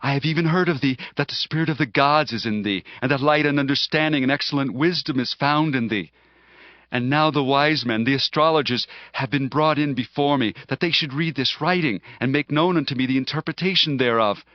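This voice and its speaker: A man's voice: mid-range voice, brisk, no room sound, natural voice. A man playing an imperious king of Babylon, formal and regal, in a dramatized scripture reading. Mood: imperious, questioning. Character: male king of Babylon, imperious yet needy. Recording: dry, slightly dull audio.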